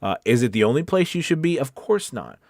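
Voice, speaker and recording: A man's voice: mid-range voice, sing-song, a little fast, darkish tone, no room sound, studio quality. A man hosting a casual livestream as a voice-over coach, talking in an informal, rambling and encouraging way. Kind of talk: casual livestream chat, reading viewer comments.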